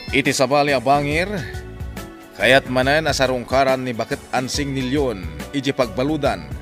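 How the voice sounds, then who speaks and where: A man with an Ilocano accent narrates a scripted radio drama recap in a dramatic style. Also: mid-range voice. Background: music.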